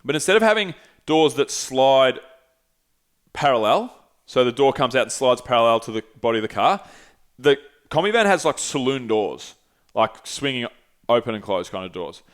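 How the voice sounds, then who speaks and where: Brief: podcast host, 30s, loose storyteller, salty language, small studio. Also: male voice, mid-range voice, moderate pace. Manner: animated, swearing, gesturing. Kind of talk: casual storytelling. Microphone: headset with desk mic.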